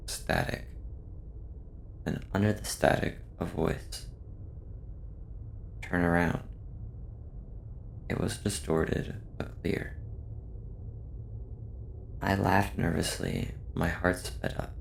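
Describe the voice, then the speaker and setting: A man reads a scripted first-person horror story in a measured, subdued way, with a deep, gravelly voice. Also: little pitch movement, a little hiss or hum. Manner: slow, measured, subdued delivery.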